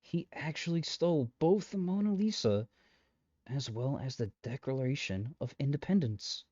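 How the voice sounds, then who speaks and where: A man's voice: mid-range voice, dark tone, dry recording, highs cut off. A man playing an exasperated, chatty matchmaker in a comedic roleplay audio drama, talking straight to the listener with wry, rambling asides and dry understatement. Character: exasperated, chatty male matchmaker peddling possessive lovestruck suitors.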